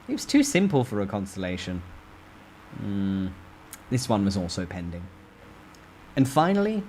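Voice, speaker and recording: A man reading a novel aloud as a storyteller, with expressive, theatrical emphasis in a dramatic first-person narration. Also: lowish voice, a little hiss or hum, low-quality recording.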